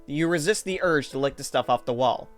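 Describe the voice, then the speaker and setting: A man's voice: fairly high pitch, a little fast. A man reading scripted second-person story narration as the narrator of a fan drama. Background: music.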